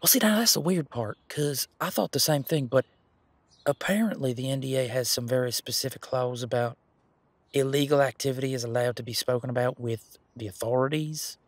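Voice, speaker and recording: A man's voice: mid-range voice, brisk, no room sound, lightly acted. A man playing an easygoing, protective werewolf in an audio roleplay, talking in an informal, frank and chatty way. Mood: musing, self-deprecating. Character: easygoing, protective male werewolf, imposing but responsible. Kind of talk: casual conversational audio roleplay monologue.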